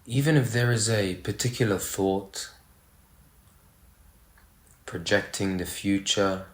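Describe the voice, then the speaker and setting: Very slow, low-voiced man guiding meditation. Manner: slow, pausing between short phrases. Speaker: meditation guide. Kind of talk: guided meditation. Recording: roomy, echoey sound.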